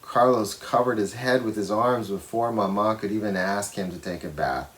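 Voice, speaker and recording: A man's voice: fairly low pitch, moderate pace. A man reading a children's storybook aloud expressively, with simple explanatory asides for young listeners. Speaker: storybook reader.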